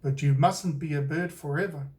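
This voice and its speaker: A man's voice: a little high, normal speed, darkish tone, close to an everyday voice. A man with a New Zealand accent voicing a solemn, cautioning wise man in a storybook, earnest and insistent. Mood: grave warning.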